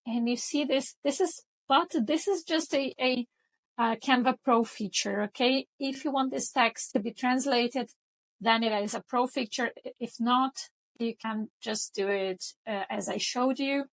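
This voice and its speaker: A woman whose first language is Portuguese, hosting a software tutorial and explaining each step in a chatty way, often checking in with the listener. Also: high-pitched, measured pace, poor audio. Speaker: tutorial host. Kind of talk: screen-recording software tutorial.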